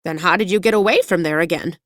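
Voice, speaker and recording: A fussy storybook hen, acted out by a woman narrator. She is prim and skeptical, anxious by temper and quick to take fright. The sound is close and dry. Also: lowish voice, dry recording, natural voice. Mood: prim, doubtful. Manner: prim, skeptical, easily alarmed. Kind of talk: audiobook character voice.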